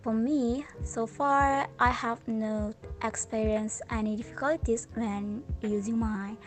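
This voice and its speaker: A young woman whose first language is Indonesian answers interview questions in English, careful and a little hesitant. Her voice is fairly high, with faint music playing behind it. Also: steady tone, slow.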